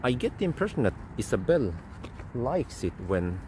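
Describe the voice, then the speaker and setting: A man, rambling through casual live-stream chat as host and thinking aloud with fillers and restarts. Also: moderate pace. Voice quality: deep voice. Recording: steady vehicle and street noise.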